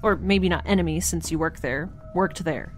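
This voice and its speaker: A woman playing a quirky, excitable young woman in a space audio drama, chatty, wry and rambling. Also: lowish voice, moderate pace, soft background music, lightly acted. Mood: eager, wry.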